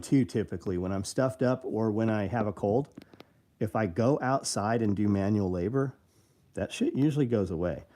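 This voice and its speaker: A man's voice: on the low side, warm tone. A man in his fifties hosting a casual live show, answering viewer comments in a blunt, rambling and profane way. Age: 50s.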